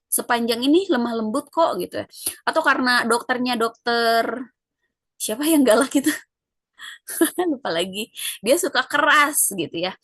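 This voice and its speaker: A woman lecturer, explaining an assignment in a relaxed, conversational way with frequent fillers. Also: a little high, no room sound, clean studio sound.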